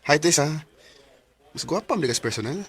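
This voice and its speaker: An actor playing a polite, good-natured young man in a radio drama, with a slightly husky voice and a Cebuano accent. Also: on the high side, expressive, a little fast. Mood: friendly. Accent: Cebuano Filipino. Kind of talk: Philippine radio drama acting.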